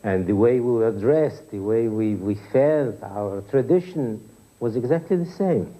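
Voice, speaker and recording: A man's voice: mid-range voice, measured pace, warm tone. An older man from Palestine, a native Arabic speaker, sharing personal recollections in an interview. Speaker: documentary interviewee.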